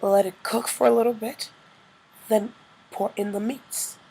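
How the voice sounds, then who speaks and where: A woman with a slightly hoarse, congested-by-a-cold voice, narrating a casual cooking tutorial as a vlogger. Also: on the high side, fairly even intonation, a little slow, mellow, rough recording.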